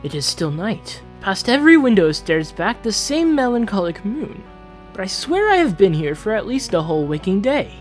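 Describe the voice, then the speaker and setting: Casting: proud, entitled young prince played by a fan voice actor, formal fantasy narration, very expressive intonation, soft music underneath. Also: mid-range voice, normal speed, close to an everyday voice. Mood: uneasy, haughty. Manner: theatrical, formal, dramatic. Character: Prince Johannes, proud, haughty, entitled eldest royal son on a quest. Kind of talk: dramatic fantasy journal narration, fan dub.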